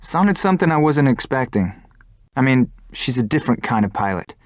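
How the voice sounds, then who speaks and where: A man's voice: mid-range voice, big pitch swings, a little fast, no echo, clean studio sound, lightly acted. An actor playing a brooding, principled young male fighter pilot in an earnest, introspective way for a game cutscene. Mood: reflective. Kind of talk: scripted game cutscene narration and dialogue.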